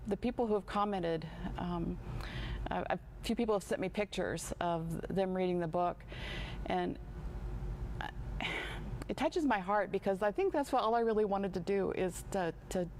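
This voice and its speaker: Woman, 60s, co-host; earnest, halting delivery full of ums, faint background hiss. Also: lowish voice, darkish tone. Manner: earnest, halting with fillers and restarts. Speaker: show co-host. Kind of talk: casual chat.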